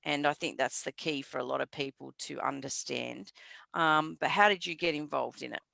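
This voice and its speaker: A woman's voice: sits low, normal speed, gravelly, bright, forceful tone, no echo, studio quality. A woman hosting a live online interview, introducing her guest and asking questions in an upbeat, encouraging way. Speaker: host, interviewer.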